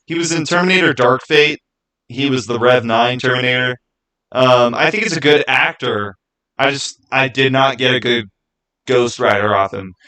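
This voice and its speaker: A man with a bright, punchy voice at an ordinary male pitch talks off the cuff as a video host. He gives opinions on games in a casual way. He leans on his points emphatically, often restating a phrase to drive it home. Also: moderate pace, rough recording. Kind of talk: casual unscripted gaming commentary.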